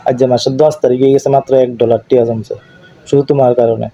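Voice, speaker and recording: A man with an eastern Bengali accent talks very quickly in a mellow, dark tone. He argues in a casual domestic way, repeating phrases to press his point. The sound is a dull lo-fi call recording. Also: mid-range voice, steady tone. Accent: eastern Bengali dialect. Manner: argumentative, repeats phrases insistently. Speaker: YouTube video creator. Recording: dull lo-fi phone call recording, faint noise.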